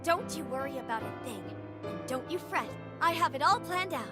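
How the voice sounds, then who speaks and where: A woman's voice: high voice, animated, moderate pace, music in the background. A woman playing a haughty, overprotective noble sister in a video game cutscene, speaking in a formal, dramatic manner. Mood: eager, scheming.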